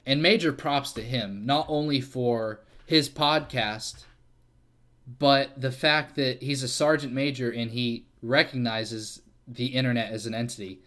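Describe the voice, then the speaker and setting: A man's voice: medium pitch, unhurried. A man hosting a casual solo podcast, rambling and self-deprecating, with plenty of fillers and swearing. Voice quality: fairly monotone delivery. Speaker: podcast host.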